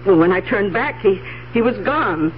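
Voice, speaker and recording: An actress playing an anxious, indignant elderly aunt in a radio drama, halting and repeating her words as she goes. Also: mid-range voice, animated, lightly acted. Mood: shaken, indignant. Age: older. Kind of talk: 1970s radio drama acting. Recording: muffled, faintly hissy vintage radio recording.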